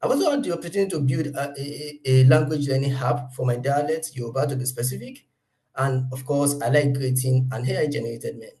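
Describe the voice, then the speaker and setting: A man's voice: medium pitch, animated, brisk, mellow, low-quality recording. A man introducing himself as the tutor of an online kids' class, polite and a little hesitant. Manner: polite, slightly hesitant with restarts.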